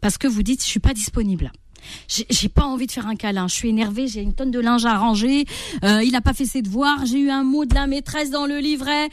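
A woman's voice: fairly high pitch, brisk, no echo. A middle-aged woman giving parenting advice as an interview guest, talking expressively and acting out the inner voices of stressed parents. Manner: animated, acts out inner voices.

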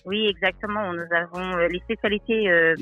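A woman's voice: lowish voice, fairly even intonation, moderate pace, narrow, tinny audio, soft background music. A woman from Brazil with a Brazilian accent, a shop owner giving a radio interview, hesitant with many fillers. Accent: Brazilian Portuguese. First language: Portuguese. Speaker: shop owner and association president.

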